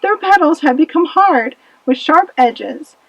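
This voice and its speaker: A woman's voice: high-pitched, animated, a little slow, dry recording, dull audio, voice pushed up. A woman voicing a king's young daughter, tender and easily upset, in a storybook tale.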